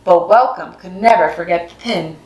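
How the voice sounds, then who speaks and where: A woman narrating a storybook for children in an expressive, dramatic way. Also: fairly low pitch, normal speed. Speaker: host of a children's read-aloud series. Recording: roomy echo with music behind. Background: music.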